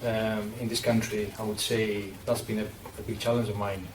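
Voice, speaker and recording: A middle-aged man with a Greek accent talks earnestly in a roundtable discussion, pausing often on fillers and starting sentences again. He is picked up from a distance in a reverberant event room. There is steady background noise behind him. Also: fairly low pitch. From Greece. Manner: earnest, thoughtful, with frequent fillers and restarts. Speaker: panelist working with athletes. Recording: distant mic in an echoey, noisy room.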